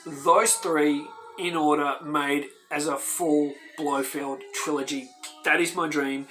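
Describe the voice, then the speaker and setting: An excitable man hosts his own fan show and talks straight to camera with eager, emphatic energy. His voice sits a little higher than most men's. Faint music plays underneath him. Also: rough recording. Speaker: host of a fan video channel. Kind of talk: enthusiastic casual talk to camera.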